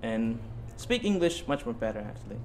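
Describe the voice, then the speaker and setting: Student podcast guest, male, late teens, from Bali. Mid-pitched, halting casual answers, audible background noise. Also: sing-song. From Bali, Indonesia.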